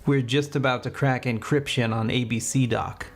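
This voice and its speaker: A man acting an agent in a comedy sketch, deadpan and matter-of-fact. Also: mid-range voice, normal speed, soft background music.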